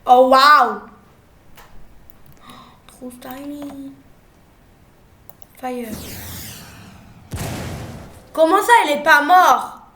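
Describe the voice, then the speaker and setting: A young woman with a cold, chatting playfully through gameplay commentary, jumping from loud exclamations to quiet mumbles. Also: sits high, extremely slow, long pauses, roomy sound, a little hiss or hum. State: has a cold. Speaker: gaming youtuber.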